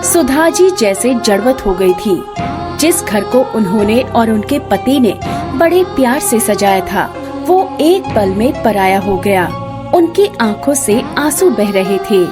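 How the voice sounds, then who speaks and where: Unhurried woman storyteller, high-pitched. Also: big pitch swings, over music. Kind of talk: scripted narration of a family moral story.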